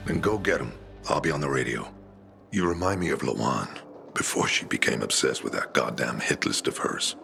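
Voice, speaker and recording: An actor playing a weary older veteran leader of survivors in a video game cutscene, grave and reflective, with a rough, gravelly voice. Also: male voice, a bass voice, animated, normal speed, pushed and bright, faint music behind, exaggerated voice. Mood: resolute, reassuring.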